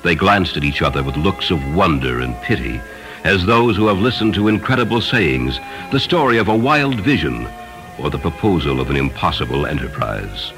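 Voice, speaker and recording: Deep-voiced male storyteller over music. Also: sing-song, rough recording. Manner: solemn, measured, dramatic. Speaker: narrator. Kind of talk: scripted literary story narration. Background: music behind the voice.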